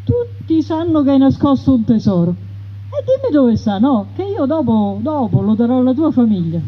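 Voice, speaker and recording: A woman's voice: high voice, sing-song, moderate pace, breathy edge, heavily acted. A woman voicing a greedy male village priest who coaxes and eagerly agrees. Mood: wheedling. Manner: coaxing, eager. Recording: dull radio broadcast.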